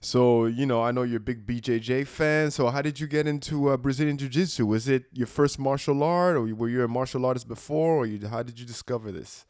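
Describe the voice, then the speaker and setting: Easygoing man interviewing with quick affirmations. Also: medium pitch, darkish tone, no room sound, studio quality. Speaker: podcast host. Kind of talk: casual interview chat.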